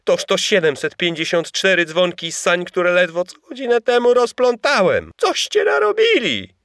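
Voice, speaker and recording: Grumpy stable reindeer, children's audio drama: fussy scolder, forceful bright tone, animated delivery. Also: male voice, sits high, normal speed, vocal fry, dry recording, studio quality, heavily acted. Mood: exasperated scolding. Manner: scolding, exasperated, theatrical. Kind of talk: children's audio play acting.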